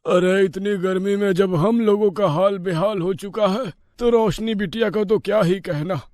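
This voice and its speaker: A man voicing an anxious, excitable village brother-in-law in a cartoon story, flustered and urgent. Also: sits high, moderate pace, dry recording, studio quality, lightly acted. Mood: alarmed. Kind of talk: cartoon moral story acting.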